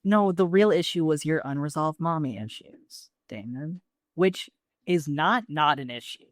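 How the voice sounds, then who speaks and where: A low-pitched woman co-hosts a casual recap podcast. Her delivery is informal, slangy and wry. The recording is dry and free of echo. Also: a little slow. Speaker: podcast co-host.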